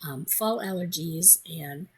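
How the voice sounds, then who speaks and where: A woman with a hoarse, congested voice, chatting casually in a crafting vlog, rambling with many ums and restarts. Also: on the low side, takes it slowly, a little mellow, low-quality recording. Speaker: crafting vlogger.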